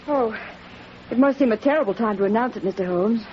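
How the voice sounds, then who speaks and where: An actress playing a gentle, apologetic young fiancée in a 1940s radio drama. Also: female voice, a little high, brisk, close to an everyday voice. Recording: dull, hissy old radio recording.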